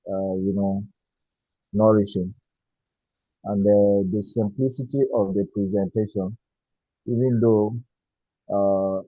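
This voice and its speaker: A man's voice: fairly low pitch, no room sound. A man speaking at an online meeting, hesitant and full of fillers, thanking a guest speaker. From Nigeria. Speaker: meeting participant. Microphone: video call. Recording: dull lo-fi call audio.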